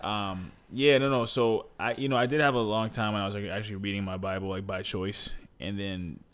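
A man's voice: lowish voice, fairly even intonation, brisk, a bit bright, clean tone. A man in his twenties chatting casually as a podcast guest, rambling and repeating himself. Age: 20s. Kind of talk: casual chat.

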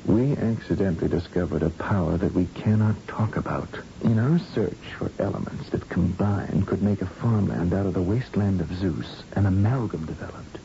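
An actor playing an earnest, secretive research scientist in an old-time radio science fiction drama. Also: male voice, lowish voice, gravelly, mellow. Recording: dull, noisy old radio recording.

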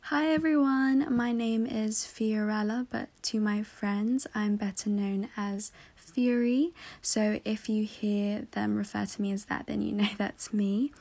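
A woman's voice: medium pitch, steady tone, measured pace, gravelly, few pauses, darkish tone. A woman in her twenties, a podcast co-host, introducing herself in a composed, precise way with small laughs. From half Filipino, half Italian, living in London. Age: 20s.

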